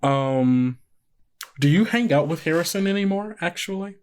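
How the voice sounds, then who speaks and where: A man's voice: fairly high pitch, lively intonation, moderate pace. A man casually improvising as game master of a podcast tabletop game, thinking aloud and often putting questions to his player.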